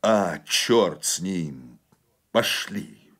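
A man playing a bitter, resigned peasant husband in a story reading, speaking in heavy, terse, drawn-out phrases. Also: sits low, animated, a bit bright, heavily acted. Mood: grim resignation.

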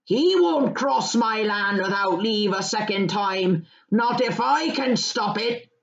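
A woman voicing a gruff but hearty male hobbit farmer in a storybook, with loud, blunt, rustic country speech. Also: mid-range voice, animated, takes it slowly, echoey room, muffled recording. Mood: grumbling, confiding. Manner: rustic, colloquial, blunt turns of phrase.

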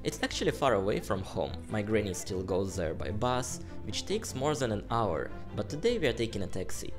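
A man in his twenties with a Russian accent, casually narrating a tour in a relaxed, chatty way with slightly hesitant phrasing. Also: on the low side, fairly quick, music in the background. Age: 20s. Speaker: vlogger giving a house tour. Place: outdoor garden plot. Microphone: phone at arm's length.

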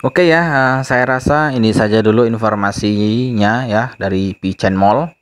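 A man's voice: lowish voice, measured pace. A man vlogging casually, reading social media posts aloud and explaining them with many filler phrases, voiced over a screen recording. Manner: repeats "ya teman-teman ya" often, reads posts aloud then explains. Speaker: crypto news vlogger. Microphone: phone mic, voice-over on screen recording.

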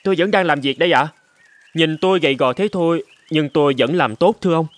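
An actor playing a humble but clever young slave in a cartoon folk tale, deferential and articulate. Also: male voice, on the high side, quick pace, dry recording, close to an everyday voice. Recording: music behind the voice.

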